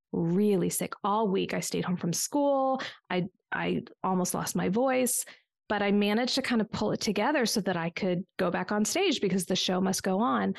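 A middle-aged American woman telling her personal story reflectively in an interview, articulate and measured. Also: mid-range voice, vocal fry, dry recording, studio quality. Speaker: interview guest, coach and former therapist.

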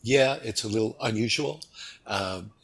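Husky-voiced American man, easygoing. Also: on the low side, a little slow. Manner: easygoing, relaxed, chatty. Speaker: podcast guest. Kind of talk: casual interview chat.

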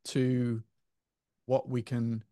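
A man's voice: medium pitch, monotone, drawn-out words, dark tone, no room sound, clean studio sound. A man co-hosting a podcast, talking in an earnest, musing way about spirituality and feelings. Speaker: podcast co-host. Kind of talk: casual spiritual chat.

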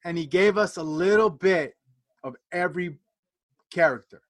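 A man's voice: sits high, moderate pace. A man in his forties co-hosting a podcast, chatting casually with slangy emphasis and steering the conversation along. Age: 40s. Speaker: podcast co-host. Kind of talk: casual fan chat, reviewing and reacting.

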